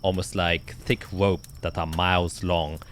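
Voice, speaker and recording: A man improvising narration as game master of a tabletop fantasy game, often pausing to search for his words. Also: deep voice, flat delivery, fairly bright tone, a little hiss or hum.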